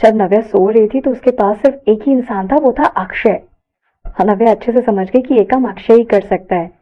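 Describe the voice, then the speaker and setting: A woman reading a scripted story aloud as an expressive narrator. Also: on the high side, a little fast, highs cut off.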